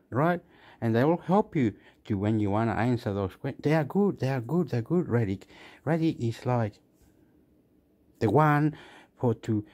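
A man's voice: medium pitch, a little mellow. A man with an Australian accent gives a rambling commentary over a phone screen recording, repeating himself and restarting his words. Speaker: vlogger.

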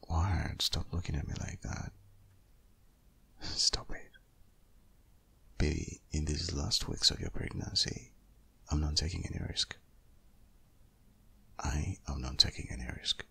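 A man acts out a protective, worried boyfriend in an ASMR audio roleplay. His voice is extremely low and full of heavy creak, husky and breathy to the point of rasping. He pleads and insists up close, often slipping toward a whisper. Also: steady tone, unhurried, long pauses, bright, forceful tone, heavily acted. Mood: firm, protective. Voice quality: very deep, gravelly, husky, often half-whispered.

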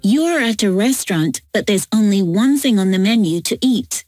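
A woman narrating textbook audio in a slow, clear reading. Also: medium pitch, lively intonation, darkish tone, faint music behind.

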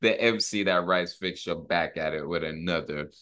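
A man's voice: sits low, moderate pace, sharp tone. A man in his thirties giving a casual, slangy music video reaction, full of short repeated exclamations, recorded at home on a desk mic. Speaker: rapper and reaction video host. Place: small room at home, indoors. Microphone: desktop microphone close by, headphones on. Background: pop music from the video.

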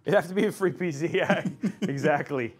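A man's voice: a little high, a little fast, breathy edge. A man in his thirties hosting a livestream from a studio while he builds a PC, playfully reading out guesses. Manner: playful, reads out guesses with excitement. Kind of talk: casual livestream chat.

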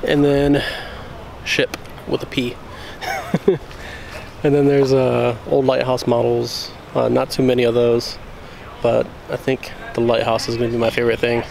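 Slow-talking gravelly young man, windy mic. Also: medium pitch. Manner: relaxed, laughs often, chatty asides. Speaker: travel vlogger. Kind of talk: casual vlog narration. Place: windy lighthouse gallery outdoors. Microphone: handheld camera mic. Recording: loud wind noise on mic.

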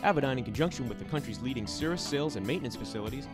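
Fast male ad announcer. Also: medium pitch, animated. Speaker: advertisement announcer. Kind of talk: scripted ad voice-over. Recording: voice-over with loud guitar music.

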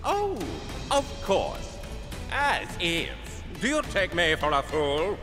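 An actor playing a pompous, cowardly military villain in a video game with a theatrical, mocking manner. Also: male voice, sits high, sing-song, slow pace, music in the background, exaggerated voice. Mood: smug, mocking.

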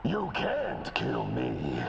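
A man's voice: rumbling low, huge pitch swings, very slow, creaky, highs cut off, noisy background, heavily acted. A man playing a gloating, menacing sci-fi villain general in a theatrical, hammy B-movie game cutscene. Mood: ominous. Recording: loud music behind.

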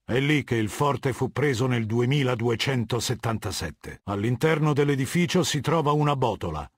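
Video game warlord, middle-aged. Husky, imperious, measured authority; dry studio sound. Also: male voice, mid-range voice, lively intonation, no room sound. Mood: cold, commanding. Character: cold, commanding middle-aged male warlord of a legion. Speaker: voice actor.

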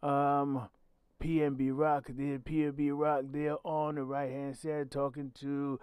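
A man's voice: a little high, little pitch movement, unhurried, darkish tone, smooth, no room sound. A man with a growly voice, hosting his own channel and giving blunt, emphatic commentary with swearing. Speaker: channel host. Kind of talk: solo commentary podcast.

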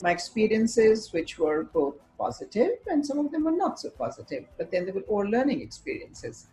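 A woman's voice: on the high side, wildly swooping pitch, normal speed, mellow, slight background noise, low-quality recording. A woman from Pakistan, English not her first language, speaking as a teacher educator in a thoughtful talk to a webcam from an office, with many fillers and restarts. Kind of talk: reflective talk to camera. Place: office with bookshelves, indoors.

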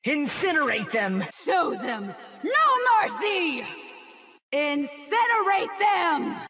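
A woman voicing a merciless winged avenging angel in a video game, giving fierce, drawn-out commands. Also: very high voice, sing-song, bright, forceful tone, in a large hall, exaggerated voice. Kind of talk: video game battle cries.

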